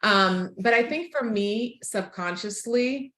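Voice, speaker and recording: A woman in her forties from Croatia, telling her life story frankly as a podcast guest, laughing easily and going quiet on painful parts. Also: a little high, slow pace. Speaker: guest, author and speaker. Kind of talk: candid personal storytelling. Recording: roomy echo.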